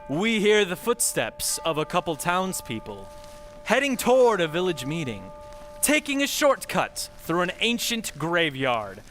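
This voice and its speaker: Animated, bright-voiced male radio announcer. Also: on the high side. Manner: dramatic, theatrical. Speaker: announcer and narrator. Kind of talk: old-time radio scripted narration.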